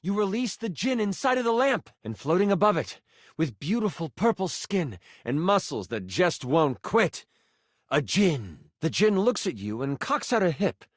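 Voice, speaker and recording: A man playing a dramatic game master, narrating a fantasy adventure in a video game with suspenseful pauses. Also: high voice, sing-song, no echo, clean studio sound. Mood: excited.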